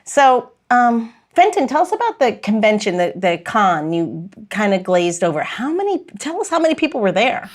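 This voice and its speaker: A woman's voice: on the high side, big pitch swings, moderate pace, few pauses. A woman hosting a podcast in casual, informal chat with a playful way of bantering. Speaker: podcast host.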